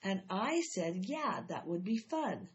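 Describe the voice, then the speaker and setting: A stubborn, competitive little schoolgirl, read by a woman, sounding insistent and a bit indignant. Her pitch sits in the middle of the range. The recording is slightly dull and lo-fi. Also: big pitch swings, slow.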